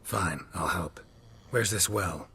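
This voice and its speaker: An actor playing a stoic monster hunter in a video game, with a gravelly, hushed, deadpan delivery. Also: male voice, sits low, slow pace, slight background noise, exaggerated voice. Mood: businesslike. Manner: terse, deadpan. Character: stoic monster hunter, gruff adult man, dry and unhurried.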